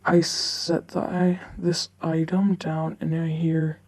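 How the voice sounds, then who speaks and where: A woman reading online forum stories aloud in a halting way, often stumbling over the words. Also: sits low, flat delivery, a little mellow. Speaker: narrator reading online stories for a video channel.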